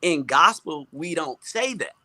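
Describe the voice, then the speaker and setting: A man's voice: fairly high pitch, lively intonation, unhurried, bright, forceful tone, no echo. A man speaking as a guest in a casual panel chat, candid and emphatic, often repeating himself as he builds a point. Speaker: music producer, panel guest.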